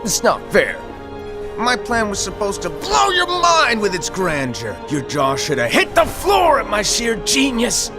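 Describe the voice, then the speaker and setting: Eccentric master with wildly swinging pitch. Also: male voice, wildly swooping pitch, over music. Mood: mock indignant. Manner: whimsical, dramatic, mock-petulant, drawn-out delivery. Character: eccentric, theatrical, playful master of apprentices. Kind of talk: animated fantasy game cutscene acting.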